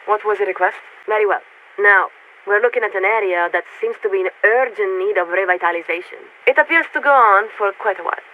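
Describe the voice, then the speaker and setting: A woman playing a polite, detached warship officer in a video game, speaking formally and with measured hesitation through a radio filter. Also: mid-range voice. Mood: musing, courteous. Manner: formal, measured, hesitant when searching for words. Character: polite, detached female warship crew officer surveying a city. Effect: military radio transmission filter. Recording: tinny narrowband radio with hiss.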